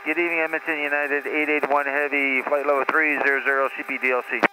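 A man's voice: a little high, little pitch movement, quick pace. Male airline pilot over noisy radio. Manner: clipped, formulaic phrasing. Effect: aircraft radio. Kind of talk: radio callouts to air traffic control. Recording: noisy aircraft radio transmission.

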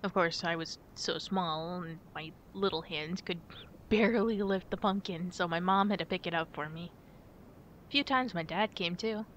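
A young woman playing a playful, affectionate girlfriend in a chatty ASMR roleplay, with gentle hesitant pauses. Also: muffled recording, lightly acted. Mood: fond, chatty. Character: playful, affectionate girlfriend on a pumpkin-picking outing. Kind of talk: ASMR girlfriend roleplay, casual one-sided chat. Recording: rumbly background noise.

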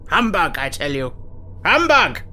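A man's voice: on the high side, sing-song, measured pace, bright, forceful tone, slight background noise, full character voice. A man voicing an emphatic, exclaiming miser for an audiobook. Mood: defiant. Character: miserly man, defiant, then frightened and pleading. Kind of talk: audiobook character acting. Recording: echoing reverb, music behind.

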